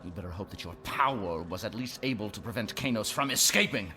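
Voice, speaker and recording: A man's voice: on the low side, expressive, a little fast, sharp tone. An actor playing a cruel, imperious male warlord who barks threats and clipped orders in a space opera audio drama. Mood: furious, threatening. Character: menacing Imperial warlord, a cruel commanding man.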